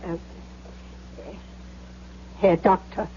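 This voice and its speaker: An actress playing a frail, obsequious old cleaning woman, a poor and desperate mother who speaks haltingly and imploringly in 1970s radio drama. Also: dark tone, muffled recording, slight background noise. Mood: timid. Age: older.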